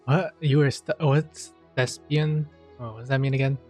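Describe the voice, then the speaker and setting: A man's voice: medium pitch, steady tone, moderate pace, darkish tone, soft background music. A man giving casual gameplay commentary as a streamer, dropping joking asides and muttering to himself. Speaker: video game streamer.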